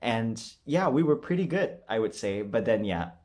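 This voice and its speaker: A man's voice: mid-range voice, sing-song, moderate pace, darkish tone. A young man talking casually to his viewers as a voice-acting creator, in a rambling, self-deprecating way. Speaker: voice actor and video creator.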